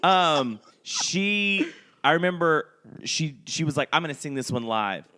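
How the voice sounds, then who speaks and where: A man's voice: fairly high pitch, unhurried. A man co-hosting a podcast, telling pop culture stories and acting out what people said. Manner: animated storyteller, acts out quotes. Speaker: podcast co-host, musician. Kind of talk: casual pop culture chat.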